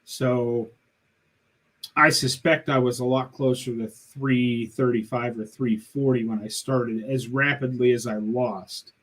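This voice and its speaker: A man's voice: mid-range voice, fairly even intonation, unhurried, a bit bright. A man of sixty in a casual interview, telling his story in an earnest, plain-spoken way. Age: 60s. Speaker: guest.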